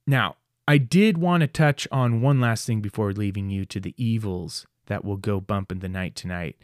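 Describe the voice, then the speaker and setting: A man's voice: on the low side, normal speed, no echo, studio quality. A man hosting a podcast in a scripted, playfully theatrical narrating style. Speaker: podcast host. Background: music behind the voice.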